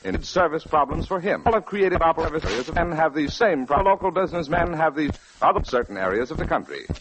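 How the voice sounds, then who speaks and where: A man's voice: fairly high pitch, expressive, a little fast. An American man reading a 1940s radio advertisement in an earnest, formal announcer's style. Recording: noisy, crackly 1940s radio broadcast.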